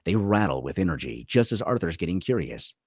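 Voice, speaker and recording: A man's voice: low-pitched, animated, fairly quick, a little mellow, dry recording, clean studio sound. A man narrating a screenplay dramatically at a table read.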